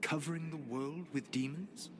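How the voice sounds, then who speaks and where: An actor playing an ancient trickster god in a video game, speaking in a measured, formal, sardonic way with wry condescension. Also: male voice, on the high side, fairly even intonation, a little hiss or hum, close to an everyday voice. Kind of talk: video game cutscene acting.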